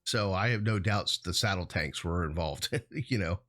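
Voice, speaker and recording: A man's voice: deep voice, moderate pace, fairly bright tone. An American man co-hosting a discussion show in a casual, conversational style. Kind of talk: casual chat.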